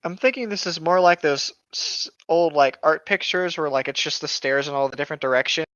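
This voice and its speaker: A man's voice: a little high, brisk, a bit bright, no room sound. A man co-hosting a comedic podcast, chatting and reading aloud in a joking, profane way through a lo-fi microphone. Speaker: podcast co-host. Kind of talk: casual comedic chat while reading horror stories aloud. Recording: dull lo-fi microphone sound.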